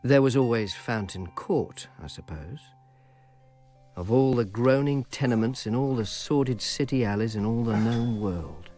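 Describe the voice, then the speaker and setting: A man's voice: mid-range voice, unhurried, dark tone, soft background music, close to an everyday voice. An actor playing a weary, sardonic male informer in ancient Rome, narrating with dry understatement in a radio drama.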